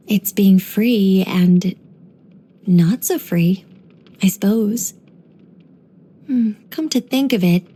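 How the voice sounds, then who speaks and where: A woman voicing a gentle, wry former angel turned human storyteller in a video game, musing and lightly teasing in an unhurried way. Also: mid-range voice, husky. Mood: reflective. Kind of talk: scripted video game character voice lines.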